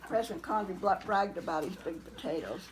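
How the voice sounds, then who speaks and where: A woman's voice: on the low side, brisk. Older grandmother reminiscing, noisy recording. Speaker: grandmother telling family memories. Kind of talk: casual family storytelling. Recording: noisy informal family gathering recording.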